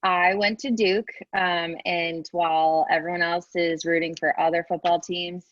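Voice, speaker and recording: A woman's voice: lowish voice, little pitch movement, measured pace, fairly bright tone, no room sound. Hesitant young woman on a dull, dry call. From Boston, Massachusetts. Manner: hesitant, lots of ums. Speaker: medical student. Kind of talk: casual self-introduction. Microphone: video call.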